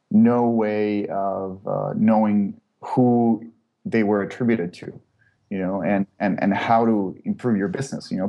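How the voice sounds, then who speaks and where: A man's voice: on the low side, dark tone, highs cut off. A man from Chile, a startup co-founder, answering interview questions in a hesitant, casual way with many fillers and restarts.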